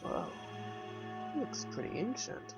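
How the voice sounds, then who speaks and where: A man's voice: slow, loud music behind the voice. A man giving casual, wry game commentary and reading game text aloud in an offhand way. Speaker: video game player.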